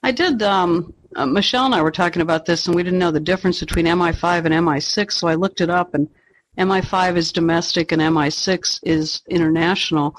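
A man with a fairly high voice talks informally as a book club member. His recording carries faint noise and small clicks. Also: fairly even intonation, brisk, low-quality recording. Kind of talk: casual group book discussion.

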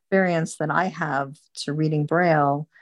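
Low-voiced woman chatting in a discussion. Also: fairly even intonation, moderate pace. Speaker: book group participant. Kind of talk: casual group discussion.

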